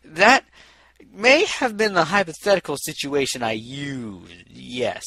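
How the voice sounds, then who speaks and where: A man's voice: a little high, takes it slowly, sharp tone, slight background noise, exaggerated voice. A man voicing a studious, friendly young female unicorn who is easily flustered and earnest. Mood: nervous.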